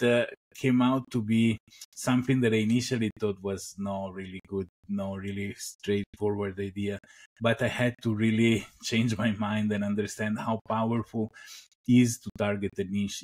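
A middle-aged Italian man speaking English with an Italian accent as a guest on a video call, talking slowly with many pauses and "uh" fillers. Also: fairly low pitch. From Italy (Venice). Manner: unhurried, many "uh" pauses, soft trailing phrases. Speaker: guest entrepreneur. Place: home room indoors. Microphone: headset with boom mic on video call.

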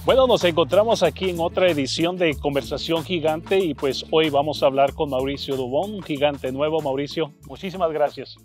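A man's voice: a little high, moderate pace, hardly any pauses, faint music behind. A male Spanish-language baseball broadcaster conducting an interview, asking conversational follow-up questions.

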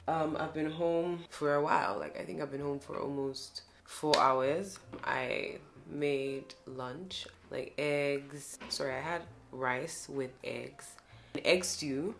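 A young woman talks straight to her viewers in a relaxed, rambling way, scattering "like" and "you guys" through every sentence. Her voice sits unusually deep for a woman, and she chats from home. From Ghana. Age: young adult. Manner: chatty, filler-heavy, addresses viewers as guys. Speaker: vlogger. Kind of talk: casual chat to camera. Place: at home indoors.

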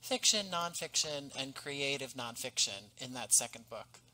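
A man in his thirties, a podcast guest, speaking in a measured, articulate way over a headset mic on a video call. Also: mid-range voice, animated, sharp tone, low-quality recording. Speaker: podcast guest, audio drama writer. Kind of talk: casual interview talk. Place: home room with painting behind.

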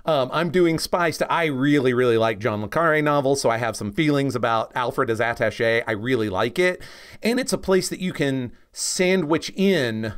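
A man's voice: mid-range voice, fairly bright tone. A man co-hosting a podcast, explaining casually and with opinions, often checking in with the listener. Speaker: podcast co-host and comics expert.

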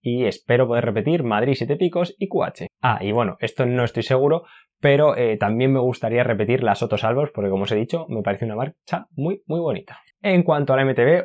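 A young man from Spain recaps his cycling year straight to camera. He sounds chatty and upbeat, gesturing as he talks, with a middle-pitched voice. He is recorded close on a lapel mic indoors. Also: a little mellow. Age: young adult. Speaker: cycling YouTuber. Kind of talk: year-in-review talking to camera. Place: indoors in front of a stone-wall backdrop. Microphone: clip-on lapel mic.

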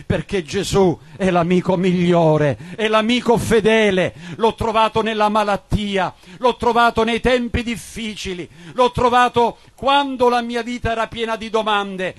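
Male Pentecostal preacher, high register, declamatory and insistent delivery. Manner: emphatic, declamatory, repetitive exhortation. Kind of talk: evangelical Pentecostal sermon and prayer.

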